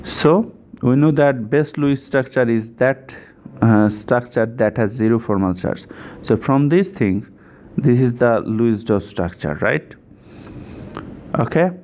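A man's voice: mid-range voice, measured pace, darkish tone, slight background noise. A man giving an informal step-by-step chemistry tutorial, repeating himself and often checking in with "right?".

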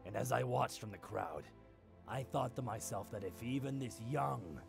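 A man playing a gruff, aging superhero mentor in a comedic anime parody, swinging between grand theatrical speech and blunt exasperated asides. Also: mid-range voice, lively intonation, normal speed, over music. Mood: solemn, blunt.

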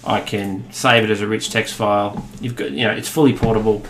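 A man in his thirties with an Australian accent, explaining a software demo in a relaxed way, with many fillers. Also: fairly low pitch, a little fast, a little hiss or hum. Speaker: product demonstrator. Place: office room, indoors. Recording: echoey room with faint ambience.

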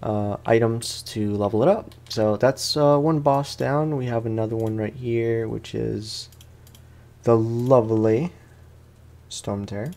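A man with a medium-pitched voice commentates over his gameplay in a casual let's play style. He talks informally with plenty of gamer slang, often repeating his own phrases. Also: fairly even intonation, a little slow, creaky, a little mellow. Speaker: gaming streamer.

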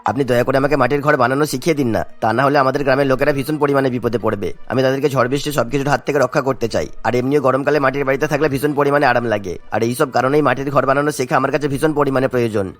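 An actor playing an earnest, pleading young village husband in a cartoon. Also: male voice, medium pitch, steady tone, racing, hardly any pauses, lightly acted. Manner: earnest, explanatory. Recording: music behind the voice.

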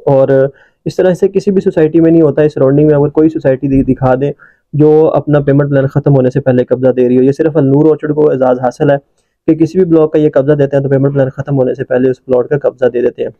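A man, a real estate agent, narrating a property tour from inside a moving car in a brisk sales pitch with many "uh" fillers. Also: fairly even intonation, no echo, lo-fi sound. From Pakistan.

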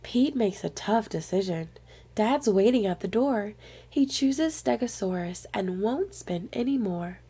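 A woman reading a picture book aloud to young children in an expressive storyteller's manner. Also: medium pitch, unhurried, breathy edge.

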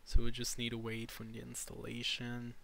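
A man narrating an improvised step-by-step screen tutorial in halting, short instructional phrases. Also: medium pitch, monotone, creaky, fairly bright tone, a little hiss or hum. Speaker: tutorial presenter.

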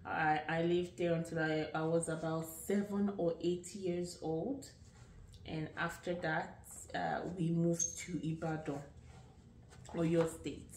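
A woman's voice: fairly low pitch, fairly even intonation, darkish tone, echoey room, distant mic, slight background noise. A woman in her late thirties from Nigeria with a Nigerian accent, chatting casually and rambling a little as she answers questions about herself. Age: late 30s. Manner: unhurried, chatty, rambling with restarts. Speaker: vlogger. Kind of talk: casual get-to-know-me vlog.

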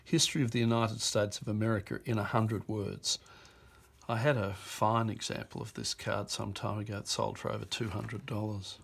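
A man presenting an auction lot, reading postcard captions aloud in short, unhurried bursts at a desk. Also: fairly low pitch, steady tone, creaky, breathy edge. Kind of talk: reading out postcards while browsing. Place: indoor office desk.

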